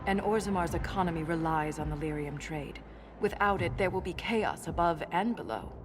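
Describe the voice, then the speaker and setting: An actress playing an earnest, formal dwarven scholar in a video game, speaking precisely and with measured care. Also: female voice, lowish voice, animated, background noise, lightly acted. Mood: grave, concerned. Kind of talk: video game cutscene acting.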